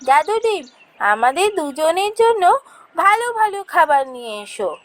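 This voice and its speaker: A woman doing an excited young village girl. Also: very high-pitched, moderate pace, pitched above the performer's own voice. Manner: excited, eager, childlike.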